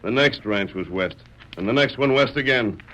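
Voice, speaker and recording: A man acting a commanding, calm cowboy hero in an old radio western, speaking firmly and precisely. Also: medium pitch, normal speed, lightly acted. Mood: calm, explaining. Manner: firm, precise, authoritative. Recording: dull, hissy old radio broadcast.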